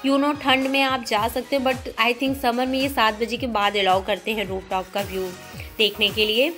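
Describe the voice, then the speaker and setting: A woman's voice: fairly high pitch, bright, forceful tone. A young woman reviewing a restaurant in a chatty voice-over, mixing English words into her Hindi. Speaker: food vlogger.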